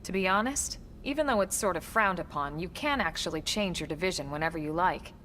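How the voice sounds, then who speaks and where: An actress playing a composed, capable military team leader in a video game, with a measured, assured delivery. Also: female voice, lowish voice, animated, fairly bright tone, slight background noise. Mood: reassuring, explanatory. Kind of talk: video game cutscene acting.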